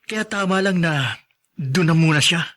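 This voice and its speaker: A man's voice: a little high, animated, normal speed, fairly bright tone. An actor playing a protective, worried young husband and expectant father in a radio drama. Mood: firm, protective.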